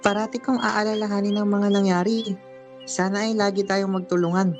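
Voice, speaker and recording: A woman's voice: mid-range voice, level tone, fairly quick, over music, lightly acted. A woman playing a grateful, inquisitive villager in an amateur radio drama, with a Filipino accent.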